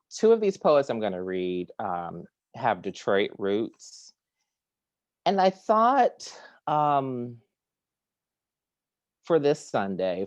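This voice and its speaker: A man giving a poetry reading from home, with a measured, deliberate delivery. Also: on the low side, no echo, lo-fi sound. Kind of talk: poetry reading with casual introduction. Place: at home on a remote video reading.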